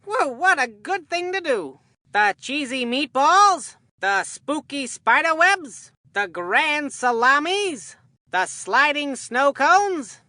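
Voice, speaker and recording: A woman's voice: sits high, pushed and bright, no echo, studio quality, heavily acted. A woman voicing a goofy, food-obsessed kid baseball commentator in a video game, with a folksy, rambling, earnest delivery. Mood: announcing. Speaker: sports commentator. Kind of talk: cartoonish scripted game commentary.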